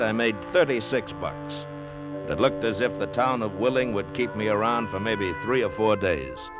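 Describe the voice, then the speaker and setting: Easygoing, wry American flyer male actor, low voice, slangy narration and dialogue for vintage radio drama, dull sound with loud music. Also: close to an everyday voice. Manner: casual, colloquial, folksy slang. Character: easygoing, wry male barnstorming pilot telling his story. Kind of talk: 1940s radio drama acting with first-person narration.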